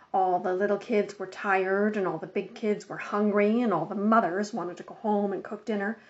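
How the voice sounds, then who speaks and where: A woman narrating a children's storybook as a scripted read-aloud. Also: mid-range voice. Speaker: audiobook narrator. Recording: dull, muffled lo-fi audio.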